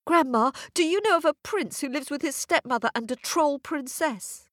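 A woman's voice: sits high, normal speed, fairly bright tone, no room sound, clean studio sound, voice pushed up. A woman voicing a timid young girl on a quest, who speaks eagerly and stammers breathlessly.

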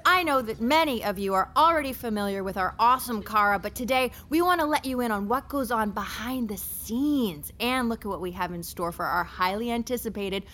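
A woman's voice: a little high, expressive, fairly quick, few pauses, sharp tone, a little hiss or hum. A woman playing a peppy, teasing corporate tour guide with bubbly enthusiasm in an audio drama. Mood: upbeat, teasing. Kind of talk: audio drama acting, addressing a school group.

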